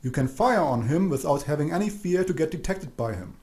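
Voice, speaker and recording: A man with a slightly high-pitched voice narrates an instructional video. He reads from a script in a measured, didactic way, speaking straight to the listener. The voice-over sounds roomy, with noticeable echo. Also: lively intonation, a little mellow.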